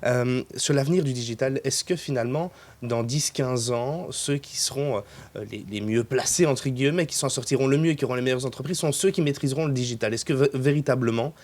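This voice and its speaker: A man's voice: medium pitch, fairly quick, darkish tone. A man hosting an interview, asking long questions in several parts with some hesitation. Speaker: interviewer, host.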